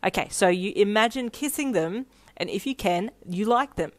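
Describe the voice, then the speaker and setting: A woman voicing a teasing, pushy, excitable schoolgirl best friend in a story, with an animated, emphatic manner. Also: medium pitch, normal speed, natural voice. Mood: confident, bossy.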